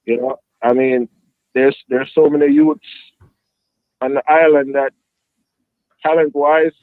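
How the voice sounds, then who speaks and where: A man's voice: medium pitch, steady tone, measured pace, dry recording. A man from Jamaica with a Jamaican accent, a youth football coach answering interview questions reflectively, with many "you know" fillers. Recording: dry tinny phone-like line.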